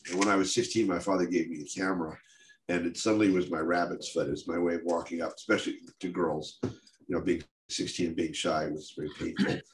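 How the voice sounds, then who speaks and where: An older man from the United States speaks in a very low voice, stuffy and congested from a head cold. He stops and restarts a lot and drops in wry asides as he chats over a webcam from his study, with a faint hiss behind him. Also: fairly even intonation, fairly quick, low-quality recording. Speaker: guest speaker. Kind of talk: casual talk over a video call. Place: home office or study, indoors. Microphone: video call webcam.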